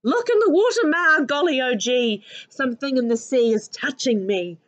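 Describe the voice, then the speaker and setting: A woman with a New Zealand accent voicing a panicky young storybook child, exclaiming loudly in alarm. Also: lively intonation, normal speed, no echo, dull audio. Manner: shrill, loud, alarmed exclaiming. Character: panicky young child squealing at sea creatures.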